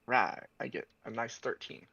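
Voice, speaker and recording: A man's voice: mid-range voice, steady tone, normal speed, creaky, bright, forceful tone, slightly rough, no echo, lo-fi sound. A man chatting casually as a player in a tabletop roleplaying game. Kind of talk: casual chat.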